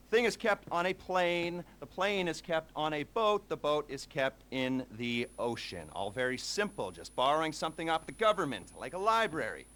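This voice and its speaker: An actor playing a brisk, glib heist planner in a video game cutscene, with a clipped, breezy manner. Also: male voice, fairly high pitch, fairly bright tone. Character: brisk, glib male heist planner briefing his crew.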